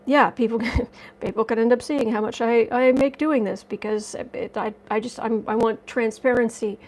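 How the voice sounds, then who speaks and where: A woman hosting a casual livestream, chatty and rambling as she reads viewer comments, her voice slightly raspy from dust allergies. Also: on the high side, steady tone, moderate pace, poor audio. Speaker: tarot and astrology livestream host.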